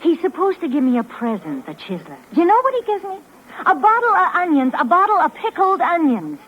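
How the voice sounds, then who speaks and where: A woman's voice: very high-pitched, big pitch swings, fairly quick. A woman playing a brassy, sassy young American waitress in a 1950s radio crime drama, talking in indignant slang. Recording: muffled, noisy old 1950s radio recording.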